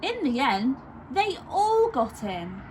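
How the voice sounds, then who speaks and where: A woman narrating a children's story expressively, with deliberate emphasis aimed at young listeners. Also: high-pitched, very animated, background noise.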